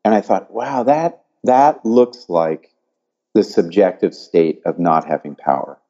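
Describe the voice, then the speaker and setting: An older man from California, explaining research in an animated, thoughtful way and often restarting his sentences. His voice sits low and sounds mellow. The audio is dull and lo-fi. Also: measured pace. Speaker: psychology professor and author. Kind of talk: interview answers.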